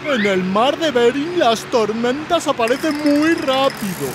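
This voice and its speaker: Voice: high voice, lively intonation, measured pace, noisy background. An actor voicing a big, friendly, plain-spoken polar bear in a children's cartoon. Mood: matter-of-fact. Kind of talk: animated children's cartoon dubbing. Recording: music behind the voice.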